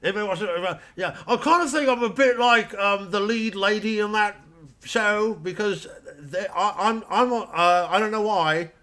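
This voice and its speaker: A man's voice: sits high, normal speed, pushed and bright, pitched above the performer's own voice. A man playing an incredulous, prying stand-up comedian who stammers through baffled questions in a parody of crowd work. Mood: awkward. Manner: stammering, repetitive, exasperated questioning.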